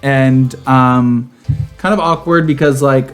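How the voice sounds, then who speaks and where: A man's voice: mid-range voice, steady tone, takes it slowly. A man chats casually as a podcast co-host, with a campy, sassy delivery. His voice sits in a medium register. Faint music plays behind him. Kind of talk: casual, chatty banter. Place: home garage set up as a studio.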